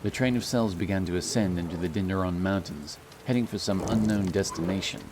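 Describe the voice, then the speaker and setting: A man narrating a fantasy audiobook in a measured, solemn storytelling manner. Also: deep voice, noisy background. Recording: loud music behind the voice. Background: loud music.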